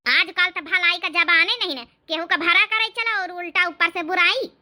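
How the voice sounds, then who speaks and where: A woman's voice: extremely high pitch, normal speed, sharp tone, exaggerated voice. A woman playing a scheming rustic mother-in-law with an Awadhi accent, scolding and grumbling in a cartoon comedy. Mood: indignant grumbling. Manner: scornful, grumbling complaints.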